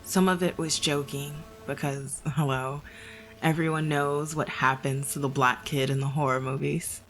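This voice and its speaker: An actress playing a bookish, guilt-ridden young woman in an audio drama, halting and hushed as she confesses her doubts. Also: low voice, heavily acted, rough recording. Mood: reflective. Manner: halting, reflective, self-doubting.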